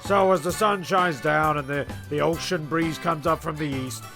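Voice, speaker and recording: A man's voice: fairly high pitch, moderate pace, sharp tone. A man playing a testy, rambling, self-important narrator in a comic radio play, stumbling and grumbling as he goes. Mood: rambling storytelling. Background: music.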